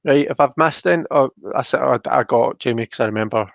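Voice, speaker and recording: A man's voice: mid-range voice, quick pace, creaky, dry recording, lo-fi sound. A Scottish man hosting a casual live stream, informal and thinking aloud as he talks viewers through a reading. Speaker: live stream host, tarot reader.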